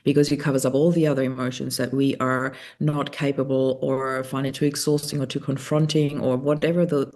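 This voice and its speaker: A woman's voice: very deep voice, level tone, fairly quick, few pauses, darkish tone. A woman whose first language is German, hosting a podcast interview in a thoughtful, reflective way, sometimes searching for words. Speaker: podcast host.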